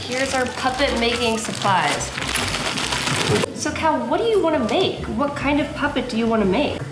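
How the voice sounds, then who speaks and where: A woman's voice: sits high, very animated, moderate pace. A young woman giving a casual, chatty craft tutorial, instructive with playful asides. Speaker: host, mother of a toddler. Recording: roomy echo, loud music behind. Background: music.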